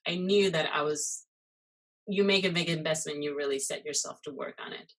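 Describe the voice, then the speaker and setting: A low-pitched woman from Costa Rica talks through an interview in a relaxed, conversational way. She leans on fillers and loose asides as she tells her story. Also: moderate pace. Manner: chatty, many fillers and "you know". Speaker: guest, muralist and illustrator. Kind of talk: casual interview chat.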